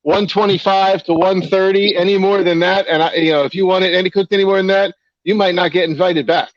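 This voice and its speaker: A man's voice: high-pitched, steady tone, brisk, slight background noise. A man on a live cooking show, casually explaining his technique in detail. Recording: dull lo-fi stream audio.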